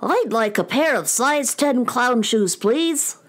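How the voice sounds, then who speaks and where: Woman acting a lively, high-voiced elf. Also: slow. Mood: brisk. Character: small, brisk, cautionary male elf. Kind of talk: children's audio drama acting.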